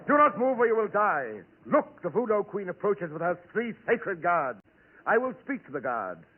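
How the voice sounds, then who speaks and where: An actor playing a solemn, fearful island guide, melodramatic and portentous, in a 1940s radio comedy. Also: male voice, sits high, sing-song, moderate pace, husky, full character voice. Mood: urgent warning. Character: Friday, solemn, fearful male island guide warning of doom. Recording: dull 1940s radio recording.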